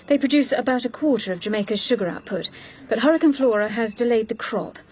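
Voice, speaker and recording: Actress as a brisk, higher-pitched efficient secretary. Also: female voice, a little mellow, natural voice. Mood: brisk, helpful. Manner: brisk, matter-of-fact, prim. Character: brisk, efficient, slightly prim female secretary-assistant agent. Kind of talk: radio drama acting.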